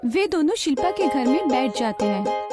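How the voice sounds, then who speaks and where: Casting: female storyteller, high voice, scripted narration over background music. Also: sing-song, moderate pace.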